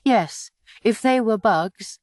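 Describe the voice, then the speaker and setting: A mischievous young woman of the storybook, played by a female reader, playful on top and quietly resolute underneath. Her pitch moves in very lively swoops, and the sound is dry and close. She teases softly, with sadness held back. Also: slow pace, dry recording. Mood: wistful.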